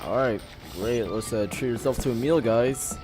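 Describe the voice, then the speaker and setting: A woman with a very low voice gives casual commentary as a game streamer. She talks in playful slang, and music plays clearly behind her. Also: moderate pace. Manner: slangy, playful reactions to characters.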